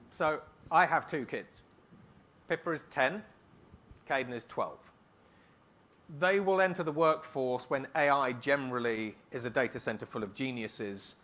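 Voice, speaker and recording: A man speaking as a keynote speaker, answering on stage in a conference hall in a brisk, emphatic way and rattling off lists. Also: mid-range voice, bright, forceful tone.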